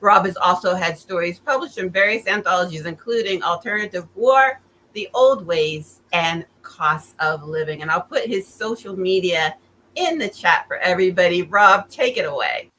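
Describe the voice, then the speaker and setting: Bright, low-pitched woman hosting, noisy line. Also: normal speed. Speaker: host of an online author reading event. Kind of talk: introducing a guest reader from a written bio. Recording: online call with clear background noise.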